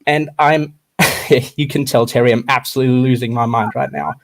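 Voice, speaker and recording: Young guy, german-aussie accent, caller ranting fast, trips over his words, middle pitch. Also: fairly even intonation, gravelly. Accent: German-Australian mix. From Australia, German-born. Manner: fast, impassioned, halting restarts. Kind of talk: passionate phone-in rant.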